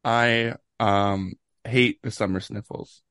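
Teasing young man, quick banter. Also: lowish voice, steady tone, fairly bright tone, clear, resonant tone, no echo, clean studio sound. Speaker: podcast co-host. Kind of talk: casual chat.

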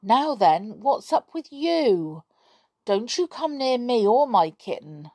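A woman voicing a rough-spoken young stranger boy who calls out loudly and defiantly. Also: on the high side, very animated, slow pace, no echo, voice pushed up, heavily acted. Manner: loud, defiant calling out.

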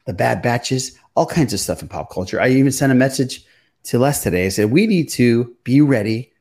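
A man's voice: medium pitch, animated, moderate pace, a little mellow. A man hosting a pop culture podcast, chatting informally and swearing now and then. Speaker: podcast host.

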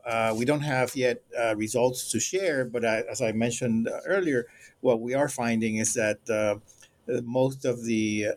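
A middle-aged man, a scholar, answering interview questions in a measured, halting way with frequent filler sounds. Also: medium pitch, darkish tone. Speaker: guest scholar, co-editor.

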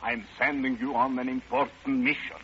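A man's voice: mid-range voice, fairly even intonation, moderate pace, close to an everyday voice. A man playing an officious, blustering Soviet commissar in an old-time radio drama, on a muffled, noisy recording.

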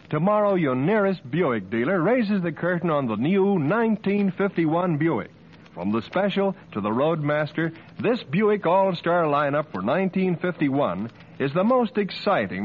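A man's voice: on the high side, big pitch swings, a little slow, a little hiss or hum. A man announcing in polished, upbeat 1950s radio commercial style. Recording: muffled old radio recording with music.

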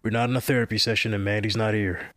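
A man's voice: fairly low pitch, flat delivery, fast talker, fairly bright tone, lightly acted. A man playing a blunt, sarcastic, grumpy member of a support group in an audio drama.

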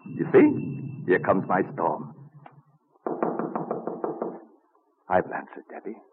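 An actor playing a genial, philosophical colonial inventor and printer in a courtly, earnest radio drama manner. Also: male voice, low voice, wildly swooping pitch, moderate pace, noisy background. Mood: proud. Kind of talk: old-time radio drama acting. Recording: muffled narrow-band vintage radio recording.

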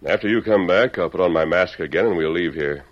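A man playing a brave, commanding masked western hero, firm and deliberate, in an old-time radio drama. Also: low-pitched. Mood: firm, urgent. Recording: dull old radio recording.

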